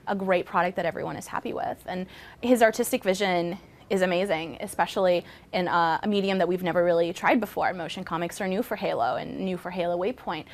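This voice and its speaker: A woman talks in a casual interview as a member of a project team. Her voice sits at a middle pitch.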